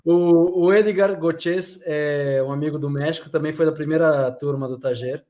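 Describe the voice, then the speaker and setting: Man, from Brazil, live chat host, friendly, laughs easily, slightly high pitch. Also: fairly even intonation, normal speed, dark tone. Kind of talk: casual interview chat.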